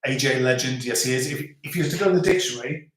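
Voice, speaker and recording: A man's voice: mid-range voice, racing. Live-stream host, man, toned-down Scouse accent, loose rambling chat, in an echoey room. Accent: softened Scouse. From Liverpool, England. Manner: chatty, rambling, stops and restarts. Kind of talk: casual live chat reading out viewer comments. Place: home in the French countryside. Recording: roomy echo.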